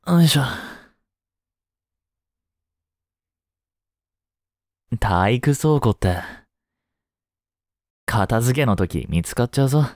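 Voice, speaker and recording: A man playing a caring, easygoing high school teacher in a voice drama, speaking conversationally with gentle concern. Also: no room sound, clean studio sound. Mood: relieved, soft. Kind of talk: scripted Japanese voice drama acting.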